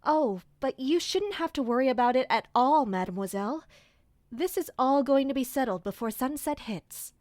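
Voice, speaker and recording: A woman's voice: sits high, lively intonation, normal speed, darkish tone, dry recording, studio quality. An actress playing a deferential, reassuring attendant to a noblewoman in an audio drama, courteous and helpful in manner. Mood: reassuring, thoughtful.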